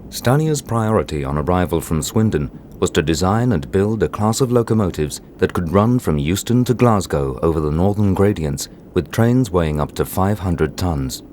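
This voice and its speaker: Low-voiced male documentary narrator. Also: animated, moderate pace, hardly any pauses, a little mellow, slight background noise.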